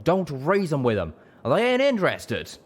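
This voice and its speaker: A man voicing a blunt, feisty male pegasus gunfighter in a storybook reading, folksy and loud with a Southern US accent. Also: on the high side, wildly swooping pitch, normal speed, pitched above the performer's own voice. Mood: angry, urgent.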